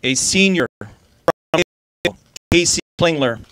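A young man at an outdoor podium microphone, reading out award winners in a steady, formal way. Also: a little high, slow pace, background noise. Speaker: awards presenter. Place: outdoor stage by a river.

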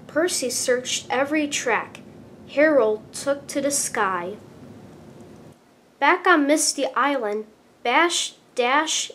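A woman narrates a children's storybook in a high voice, taking her time over each phrase. Her delivery is expressive and deliberate. There is clear rumbling noise behind her. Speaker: storybook reader.

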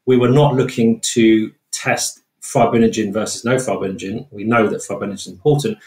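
British man, expert guest, lower register, measured explanations, roomy recording. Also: fairly even intonation, a little mellow. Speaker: trauma researcher, interview guest. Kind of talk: explanatory interview answers. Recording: roomy, echoey sound.

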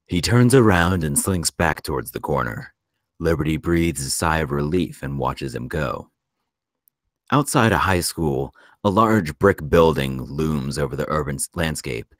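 A man narrating a screenplay at a table read in a measured storytelling delivery. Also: a bass voice, lively intonation, no echo, clean studio sound. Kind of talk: scripted narration of stage directions.

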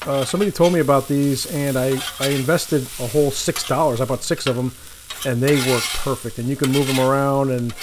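A man's voice: mid-range voice, background noise. A man hosting a casual cooking video outdoors by a lake, talking loosely while he cooks, correcting himself and chuckling at small mistakes. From Florida. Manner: relaxed, rambling, self-correcting, chuckles at mistakes. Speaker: cooking channel host. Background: sizzling food on a griddle.

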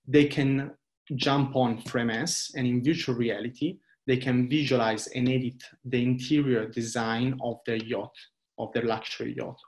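Italian man pitching on a video call. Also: darkish tone. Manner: earnest, fluent with frequent "uh" fillers. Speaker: company director presenting to investors. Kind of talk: investor webinar presentation. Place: small indoor room. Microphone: laptop webcam video call.

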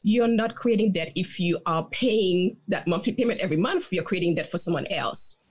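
A woman's voice: fairly low pitch, normal speed, darkish tone, breathy edge. A woman in her thirties who grew up in Haiti, a dentist guest explaining her views earnestly on a headset mic at home. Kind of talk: interview conversation. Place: home room.

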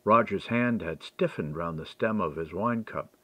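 A man reading a historical mystery as an audiobook, in a measured, expressive storytelling manner. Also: deep voice, dry recording.

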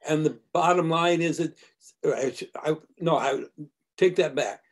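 An older man speaking on a video call from his study, his voice sitting notably high for a man. He explains in a measured, lecturing way, pausing and restarting as he builds his argument. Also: husky. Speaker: discussion group member. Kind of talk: casual academic discussion.

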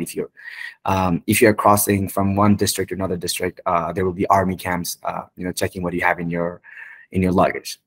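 Young man, Nepali accent, low pitch, dry close sound, casual interview answers, informal rambling delivery. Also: no echo. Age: 20s. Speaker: guest, tech company founder. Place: indoor room, on a video call. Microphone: video call microphone.

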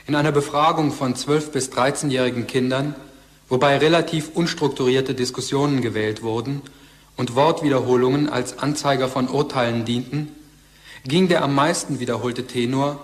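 A man's voice: medium pitch, close to an everyday voice. A man reading scripted narration in a formal, matter-of-fact way.

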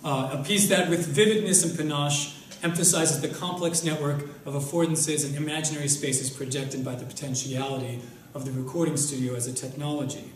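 A man's voice: a little high, fairly even intonation, a little hiss or hum. A young man at a lectern, reading a formal introduction of a guest speaker in a lecture room. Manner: measured, reads from notes at a lectern. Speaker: event host introducing a speaker. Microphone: lectern mic, filmed by far camera. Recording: echoey room from far off.

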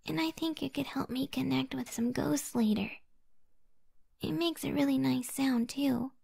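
A woman acts out a lonely, eerie young occultist in an intimate ASMR roleplay. Her high voice is whispered and raspy, heavy with vocal fry. She coaxes the listener slowly, with an unsettling sweetness. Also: a little mellow, exaggerated voice. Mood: coaxing, soothing.

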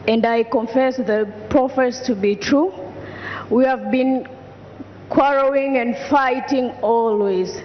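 A woman from Tanzania gives her testimony slowly and deliberately. Her voice is a little high. The sound is dull, with a long echo from a large hall. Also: slight background noise. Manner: slow, deliberate. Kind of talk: personal testimony. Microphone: handheld mic.

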